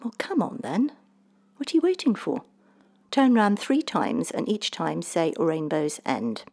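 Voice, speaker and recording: A woman voicing a mysterious little man, teasing, brisk and breezily insistent, for an audiobook story. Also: fairly low pitch, huge pitch swings, a little mellow, full character voice. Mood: goading. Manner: teasing, brisk, matter-of-fact.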